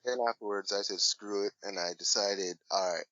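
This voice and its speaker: A man's voice: lowish voice, fairly even intonation, normal speed, bright, forceful tone, no echo, muffled recording. A man talking casually as an interview guest, rambling and hesitant with many fillers. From Washington State, USA. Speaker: guest, hip hop artist.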